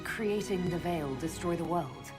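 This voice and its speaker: Woman doing a video game hero leader, lowish voice, direct and steadfast, music in the back. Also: measured pace, natural voice. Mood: probing. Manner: serious, direct questioning. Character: female leader of an inquisition, probing, steadfast hero. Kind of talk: dramatic video game dialogue.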